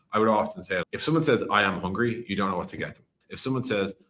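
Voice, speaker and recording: A man's voice: low-pitched, pushed and bright. A man speaking as a podcast guest in a conversational tech interview, explaining animatedly with analogies and quoted examples.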